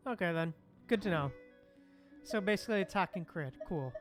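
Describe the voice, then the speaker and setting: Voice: medium pitch, animated, normal speed. A livestream host chatting casually while gaming, often repeating phrases in reply to chat. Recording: music playing behind the voice.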